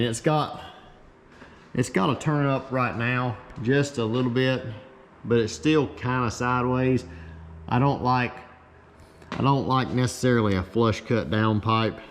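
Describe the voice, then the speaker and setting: A man giving a casual, plain-spoken, folksy explanation of his hands-on car work, as host of his own video. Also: medium pitch. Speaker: host, race car builder. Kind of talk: casual explanatory shop talk. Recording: clear steady background noise, ambient hum.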